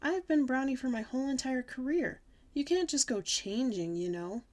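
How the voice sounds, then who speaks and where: A woman voicing an excitable, sassy, dramatic little kindergarten girl with childish, emphatic speech in a children's audiobook. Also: high-pitched, moderate pace, pitched above the performer's own voice. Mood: indignant. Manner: childish bad grammar, indignant, emphatic. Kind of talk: children's audiobook character reading.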